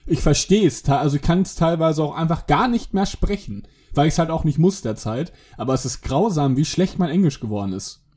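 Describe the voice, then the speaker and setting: A man's voice: a little high, animated, fairly quick, darkish tone, husky. Rambling, chatty young-sounding man. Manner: rambling, self-interrupting, laughs at own stories. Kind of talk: casual chat.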